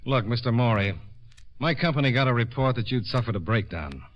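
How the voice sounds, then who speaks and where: An American actor playing a sardonic, hard-boiled insurance investigator in 1950s radio noir style, clipped, dry and cynical. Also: male voice, mid-range voice, expressive, a little fast, no room sound, natural voice. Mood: blunt, accusing. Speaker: lead actor and narrator in a radio detective drama. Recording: muffled vintage radio recording.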